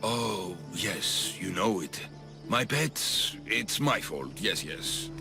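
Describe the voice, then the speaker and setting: An actor playing an eccentric, obsessive doctor in a video game, fussy and jittery, doting and often repeating himself. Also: male voice, low-pitched, lively intonation, takes it slowly, creaky, over music, full character voice. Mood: uneasy, guilty. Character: eccentric obsessive male doctor doting on his human pets. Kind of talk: video game character acting.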